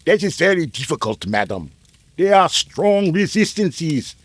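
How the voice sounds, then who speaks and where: A man's voice: a little high, very animated, a little slow, dry recording. A man playing a sinister, calculating scientist in an old-time radio drama, with formal, clipped phrasing. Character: sinister, calculating male scientist selling macabre services.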